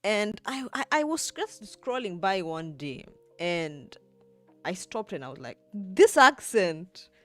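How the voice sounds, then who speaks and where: A young woman in her twenties, live-streaming as she edits and chatting with viewers, thinking aloud with soft laughs. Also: medium pitch, very animated, slow pace, faint music behind. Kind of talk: livestream, talking to chat while editing.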